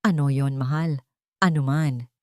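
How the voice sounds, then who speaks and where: A woman voicing a devoted grown man, calm, firm and polite, in a romantic audio story. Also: extremely low pitch, measured pace, no room sound, clean studio sound. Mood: hopeful, supportive. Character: devoted, steadfast grown son and husband, respectful.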